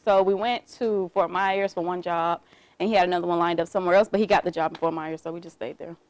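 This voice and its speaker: A woman from Miami, a guest esthetician, talking in a relaxed, chatty way with many fillers. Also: fairly low pitch, quick pace, no room sound. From Miami, South Florida. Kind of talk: casual interview chat.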